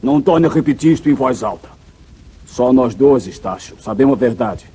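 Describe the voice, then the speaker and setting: An actor playing a cold, scheming outlaw villain with a Brazilian accent and a commanding, menacing manner in an old radio serial. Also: male voice, medium pitch, expressive, normal speed, dark tone, husky. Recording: muffled vintage radio drama recording.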